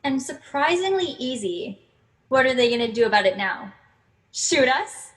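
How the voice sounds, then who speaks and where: A woman's voice: sits high, sing-song, normal speed. A woman playing a sarcastic, cocky little bird that is a self-destruct program, in a comic sci-fi audio drama. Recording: noisy video-call audio.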